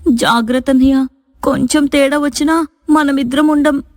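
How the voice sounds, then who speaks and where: A woman acts a suspicious, anxious young sister in a moral tale. Her delivery is urgent and insistent, her pitch fairly high. The recording is dry. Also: steady tone, normal speed, a little mellow, dry recording, in a large hall, lightly acted. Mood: alarmed.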